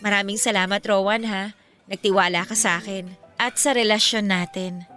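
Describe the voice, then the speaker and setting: A woman's voice: medium pitch, fairly even intonation, normal speed, sharp tone, soft background music, lightly acted. An actress playing a playful, affectionate young woman in a Filipino radio romance drama, with a teasing, conversational way of talking. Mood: happy, affectionate.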